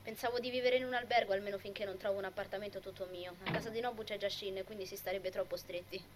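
A woman playing a gentle, laid-back anime friend. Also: mid-range voice, fairly even intonation, fast, noisy background, close to an everyday voice. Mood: calm, considerate. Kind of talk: amateur anime fan dub.

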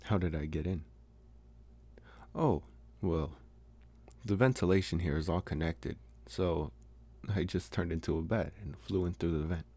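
A man playing a smitten young college vampire in an intimate, hesitant, soft-spoken ASMR roleplay. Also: sits low. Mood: earnest, matter-of-fact. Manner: hesitant, soft-spoken, slow with long pauses. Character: young male college vampire, smitten, calm, gently possessive. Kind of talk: ASMR roleplay, intimate one-sided dialogue.

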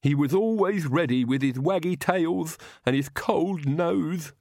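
A man's voice: medium pitch, takes it slowly, darkish tone, dry recording, studio quality, pitched above the performer's own voice, close to an everyday voice. A man voicing a lumbering monster servant, grieving and miserable, in an audiobook story. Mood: sobbing. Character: lumbering, grieving monster servant, sobbing over his dog.

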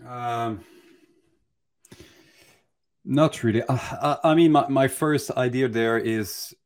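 A man giving unscripted advice as a mentor in a Q&A, speaking in a hesitant, halting way as he thinks aloud. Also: medium pitch.

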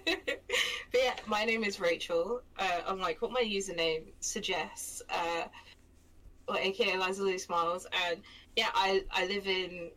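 A woman's voice: medium pitch, fairly even intonation, a little slow, pushed and bright, rough recording. A young woman with a London accent, co-hosting a stream in casual chat, rambling and self-mocking, laughing often. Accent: London English. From England. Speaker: stream co-host.

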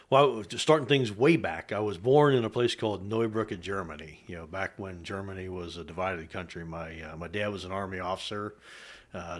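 An older man with a Pennsylvania American accent, chatting as a podcast guest in a measured way with pauses. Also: low-pitched. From south central Pennsylvania, United States. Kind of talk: casual conversation, reminiscing. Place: podcast studio.

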